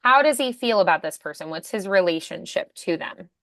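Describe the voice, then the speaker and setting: Bright, encouraging woman coaching voice acting. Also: fairly low pitch, animated, fairly quick, no echo, clean studio sound. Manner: encouraging, affirming, prompting with questions. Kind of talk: one-on-one coaching, conversational questioning.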